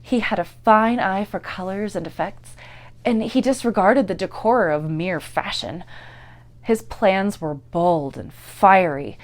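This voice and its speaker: Lady reading an old story out loud like an actor, very theatrical, medium pitch, goes from big swells to hushed bits. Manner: theatrical, deliberate phrasing with strong swells and hushes. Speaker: actor and narrator. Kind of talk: dramatic literary story narration.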